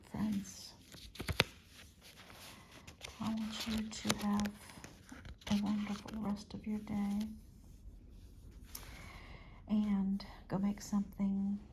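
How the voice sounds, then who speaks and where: A woman's voice: medium pitch, little pitch movement, slow pace, long pauses, a little hiss or hum, rough recording. A woman whispering through an ASMR reading, playful and chatty in her asides. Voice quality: mostly whispered, breathy and soft. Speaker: ASMR vlogger.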